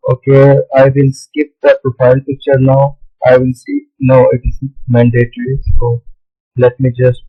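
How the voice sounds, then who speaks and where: A man whose first language is Urdu, walking through a screen tutorial in a halting way, often pausing on "okay, so". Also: medium pitch, monotone, dull audio. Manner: halting, with many "okay, so" fillers. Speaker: tutorial host. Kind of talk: screen-recorded tutorial walkthrough.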